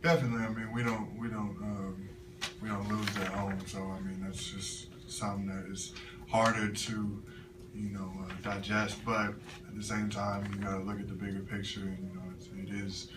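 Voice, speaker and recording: A young man, a college basketball player, answering press questions after a loss with measured, halting answers full of fillers. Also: on the low side, level tone. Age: young adult. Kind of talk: postgame press conference answers. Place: press room with sponsor backdrop. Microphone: table mic, recorded by a camera from the floor. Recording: echoey room from far off, noisy.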